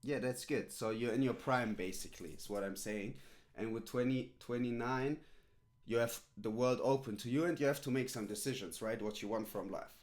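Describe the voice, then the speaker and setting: A man's voice: medium pitch, moderate pace, a little mellow. Rambling streamer man. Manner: rambling, filler-heavy, repeats "right?" and "literally". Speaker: streamer coaching a viewer. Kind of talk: casual live-stream chat.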